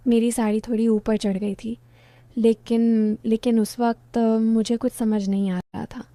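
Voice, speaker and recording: A woman narrating a first-person story in a steady storytelling tone. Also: a little high, a little slow, no room sound.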